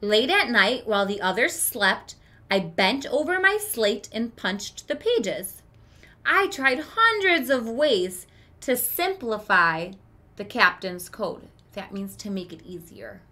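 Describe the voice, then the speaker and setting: A woman in her thirties reading a picture book aloud to children in a deliberate, emphatic storytelling manner, pausing to explain things as a teacher would. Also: medium pitch, big pitch swings, bright, forceful tone. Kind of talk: expressive children's read-aloud with explanatory asides. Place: small room with children's book shelves.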